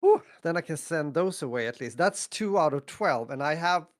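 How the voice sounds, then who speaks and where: A man's voice: on the high side, expressive, moderate pace. A man co-hosting a podcast in casual chat, talking hesitantly and repeating words as he goes. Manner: hesitant, repeats words, stacks "you know" and "I mean". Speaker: podcast co-host.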